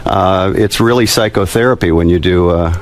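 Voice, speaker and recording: A man's voice: sits low, normal speed, no echo. A middle-aged man speaking as an expert guest in a studio radio interview, emphatic and matter-of-fact, correcting bluntly. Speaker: interview guest, criminal profiler.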